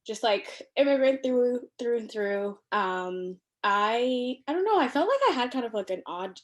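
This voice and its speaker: A young woman from Ethiopia, chatting as a podcast guest over a video call from her living room, animated and full of fillers. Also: fairly high pitch, unhurried. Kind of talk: casual conversation.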